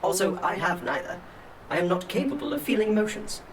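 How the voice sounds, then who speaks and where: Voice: medium pitch, animated, brisk, far from the mic, crowd noise, close to an everyday voice. A performer voicing a formal, deferential, literal-minded robot valet in a comedic audio drama, with prim and precise wording. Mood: matter-of-fact apologetic.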